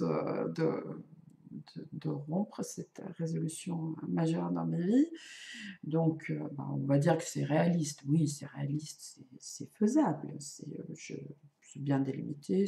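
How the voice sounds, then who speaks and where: A woman's voice: deep voice, gravelly, dark tone, echoey room. A middle-aged woman whose first language is not French, chatting casually to camera as a vlogger, self-mocking and full of hesitations. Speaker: knitting and crochet vlogger.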